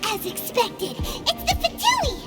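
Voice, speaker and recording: A woman voicing a tiny, childlike, cheeky floating companion in a video game, with a squeaky, chatty delivery. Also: wildly swooping pitch, slow, over music, heavily acted, poor audio. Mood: impatient. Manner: squeaky, chatty, refers to herself in third person.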